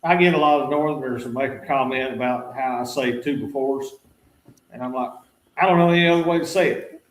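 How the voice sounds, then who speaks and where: A man's voice: mid-range voice, fairly quick, echoey room, slight background noise, poor audio. A man talking plainly and earnestly in a casual livestream chat.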